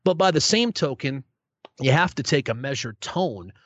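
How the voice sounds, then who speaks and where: A man's voice: mid-range voice, lively intonation, normal speed, fairly bright tone, no echo. A young man, a sports play-by-play broadcaster, answering interview questions in a good-humored, self-deprecating way with many restarts. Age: young adult. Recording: dull lo-fi sound.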